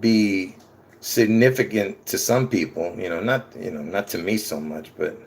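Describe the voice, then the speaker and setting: A man's voice: low-pitched, moderate pace, creaky, breathy edge, rough recording. A man from Lebanon giving a rambling monologue to his viewers, restarting sentences often and addressing them directly. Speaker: conspiracy video creator. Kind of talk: rambling casual monologue to camera.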